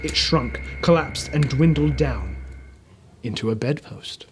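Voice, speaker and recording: A man's voice: mid-range voice, animated, unhurried, soft background music. A man narrating a classic story as a storyteller, reading expressively from a script. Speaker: storyteller, reader.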